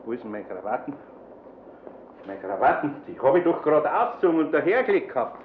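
An actor playing a good-natured, gently grumbling older man with a Bavarian accent in a German children's radio play. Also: medium pitch, big pitch swings, fairly quick, lightly acted. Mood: exasperated. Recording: muffled, noisy old radio play recording.

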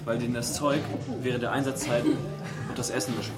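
Radio drama casting: wired, pushy male office worker, restless rapid talker played by an actor, loud music underneath. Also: mid-range voice, flat delivery, natural voice. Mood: insistent. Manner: restless, insistent, ranting. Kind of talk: naturalistic radio drama dialogue.

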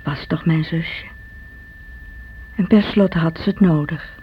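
A woman's voice: sits low, slow. An actress playing an envious, bitter sister, confiding her brooding recollections in a radio drama. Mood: resentful. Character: Irene, envious, bitter divorced sister, self-doubting. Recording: dull radio recording with faint hum.

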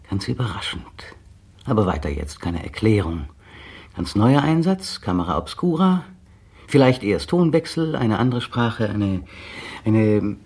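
An actor playing a brooding, cynical poet in a radio drama monologue, talking to himself haltingly and slipping into declamation. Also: male voice, mid-range voice, darkish tone, slightly rough, exaggerated voice. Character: brooding, cynical man, a poet, waiting restlessly at home. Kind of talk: 1960 German radio drama interior monologue.

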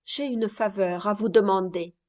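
A woman voicing a dying young girl who speaks softly and with quiet resignation, in a read-aloud story. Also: mid-range voice, steady tone, normal speed, lightly acted. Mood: resigned.

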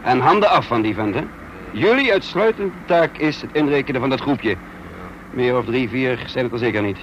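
A man's voice: mid-range voice, animated, normal speed, lightly acted. Commanding male officer, played by an actor for radio drama; clipped, authoritative delivery, dull slightly noisy sound. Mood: businesslike. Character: brisk commanding male military officer leading a raid.

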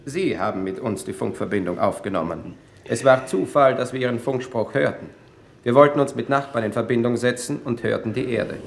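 An actor playing a plain, laconic envoy of space colonists in a 1950s German radio play, speaking matter-of-factly. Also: male voice, medium pitch, fairly quick, creaky, slight background noise, close to an everyday voice. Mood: calm, matter-of-fact. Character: plain, unruffled male colonist envoy from Venus.

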